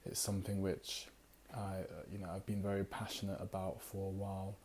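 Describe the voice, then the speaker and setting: A man with a low, mellow voice that barely rises or falls talks through a book review. He sounds tired and a little raspy, and he rambles along with fillers, pausing now and then to read passages aloud. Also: completely flat delivery, slight background noise. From United Kingdom. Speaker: book club host.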